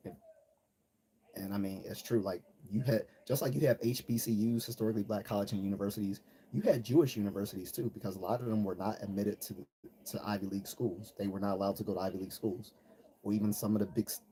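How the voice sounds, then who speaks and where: A man's voice: fairly low pitch, dark tone, poor audio. An American man hosting a live stream, giving a casual, blunt, conversational monologue with some slang. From United States. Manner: blunt, conversational, slangy, mimics people he quotes. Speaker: host of a live commentary stream. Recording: noticeable background noise.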